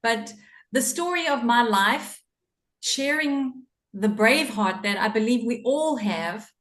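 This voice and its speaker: Echoey middle-aged South African woman. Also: on the high side. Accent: South African English. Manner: warm, earnest, laughs often. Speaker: podcast guest. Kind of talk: personal storytelling in an interview.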